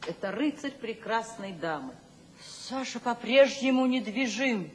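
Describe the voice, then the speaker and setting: An actress playing a grave narrator who sets the scene in terse fragments in a radio drama. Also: female voice, on the high side, lively intonation, a little slow, husky. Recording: long hall reverb.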